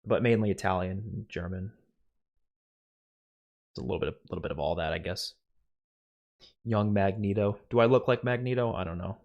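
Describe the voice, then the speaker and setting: A man's voice: fairly low pitch, moderate pace, pauses often, highs cut off. Young man streaming, loose New York lilt. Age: young adult. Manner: animated, swearing, laughs a lot. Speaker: streamer host. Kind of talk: casual live stream Q&A. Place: small home room, indoors. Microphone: studio mic on boom, headphones.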